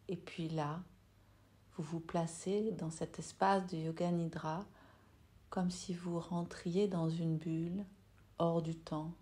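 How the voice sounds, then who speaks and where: A woman yoga nidra teacher leading a guided meditation in a soft, soothing, unhurried way with long pauses. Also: on the low side.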